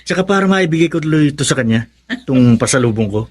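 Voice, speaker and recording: An actor playing a cheerful young cousin in a Tagalog radio drama. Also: male voice, a little high, animated, quick pace. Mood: eager. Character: cheerful young male cousin home from abroad.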